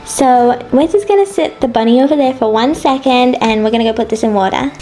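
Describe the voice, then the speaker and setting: A woman's voice: a little high, brisk, mellow, soft background music, low-quality recording. A woman, congested from a cold, chatting bubbly and self-mockingly through a toy unboxing vlog. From Australia. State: stuffy nose from a cold. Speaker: toy reviewer. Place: indoors at a tabletop toy set.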